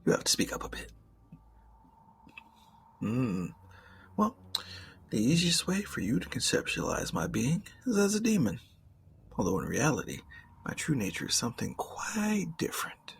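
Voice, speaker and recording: Give this man's performance of a demon a suave, mocking menace in an intimate ASMR roleplay. The voice is lively in pitch with plenty of fry, eloquent and formal, often slipping into a breathy whisper. A faint noise sits behind it. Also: moderate pace, pauses often. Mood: teasing, businesslike.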